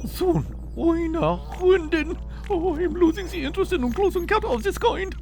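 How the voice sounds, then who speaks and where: A woman's voice: extremely high pitch, very animated, moderate pace, a little mellow, slight background noise, exaggerated voice. A woman playing a nervous, self-preserving crew member with a German accent.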